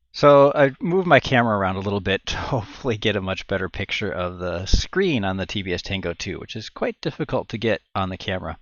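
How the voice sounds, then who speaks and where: A middle-aged man with a fairly low voice talks through technical steps like an informal tutorial. He explains as he goes, sometimes halting to think aloud. The headset recording is close and dry, with a slightly dull top end. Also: fairly bright tone, dry recording. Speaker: tutorial presenter. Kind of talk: informal step-by-step tech tutorial. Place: indoor room at home. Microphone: headset boom microphone.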